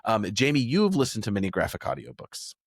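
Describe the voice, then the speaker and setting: Lowish-voiced man in casual podcast chat. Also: huge pitch swings, fairly quick, no echo, studio quality. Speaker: podcast co-host. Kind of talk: casual chat discussing books.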